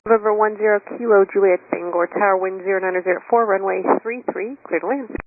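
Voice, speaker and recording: A woman's voice: medium pitch, fairly even intonation, fast, faint music behind. A woman working as a tower controller, giving procedural instructions over air traffic radio. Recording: tinny, staticky tower radio.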